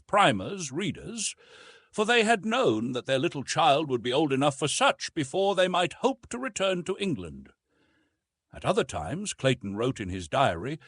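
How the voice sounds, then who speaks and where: A man reading a classic adventure novel aloud as an audiobook narrator, in a dramatic, measured storytelling manner. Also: medium pitch, a bit bright, husky, dry recording.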